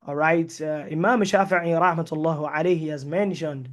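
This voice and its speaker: A man's voice: a little high, fairly even intonation, moderate pace. A man teaching an online Sharia lesson, who talks with many fillers, mixes in Arabic terms and speaks English as a second language. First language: not English. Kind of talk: online lecture with live Q&A.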